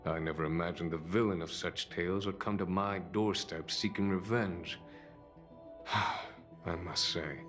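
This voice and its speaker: An actor playing a composed, courteous military general who speaks in a measured, dryly amused way. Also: male voice, low voice. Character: calm, composed male general, courteous and wry. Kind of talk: game cutscene voice acting.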